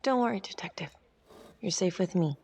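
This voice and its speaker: A woman playing a careful, supportive confidante to a detective in a game drama, measured and questioning. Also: fairly low pitch, animated, darkish tone, slightly rough, long reverb tail. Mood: reassuring. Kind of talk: naturalistic dramatic game acting.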